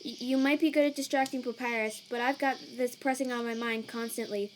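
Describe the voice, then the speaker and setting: An anxious female cartoon monster scientist as a woman acts her out speaks in a high voice. She is earnest and nerdy, hesitating as she goes. Faint insect sounds fill the night behind her. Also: fairly even intonation, a little fast, a little hiss or hum, natural voice, low-quality recording. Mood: worried. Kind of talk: comic dub acting. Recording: cricket night ambience behind.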